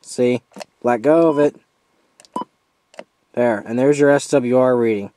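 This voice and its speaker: A man giving a casual, hands-on product review as an amateur, informal and halting as he steps through features. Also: mid-range voice, mellow, a little hiss or hum.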